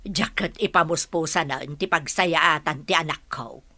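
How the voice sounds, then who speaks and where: A woman playing a feisty, sharp-tongued, combative village woman in an Ilocano radio comedy drama, with an Ilocano accent. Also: sits low, unhurried, dry recording, full character voice. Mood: indignant, scolding.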